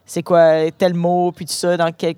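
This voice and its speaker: A woman's voice: fairly low pitch, completely flat delivery, measured pace, warm tone, smooth, no room sound. A woman with a Canadian French accent hosting a casual, chatty interview with schoolchildren and their principal. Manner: friendly, chatty, restarting phrases. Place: inside a parked truck.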